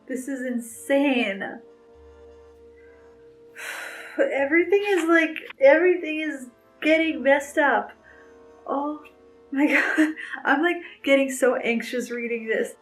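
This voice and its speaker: A woman's voice: high voice, takes it slowly, pauses often, faint music behind, poor audio. Chatty young woman vlogging about a book. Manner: emotional, gushing, lots of "like". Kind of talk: casual read-along vlog.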